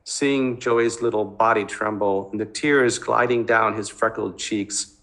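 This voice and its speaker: A man reads fiction aloud as a dramatic narrator. His voice sits a little low but carries a bright, forceful edge. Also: fairly even intonation, unhurried.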